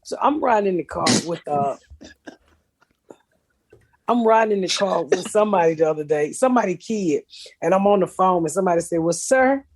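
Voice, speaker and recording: A woman's voice: animated, fairly quick, pauses often, darkish tone. A middle-aged woman, hosting a podcast in a blunt, profane way and laughing often. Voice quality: deep voice for a woman. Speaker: podcast host. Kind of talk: casual comedic chat.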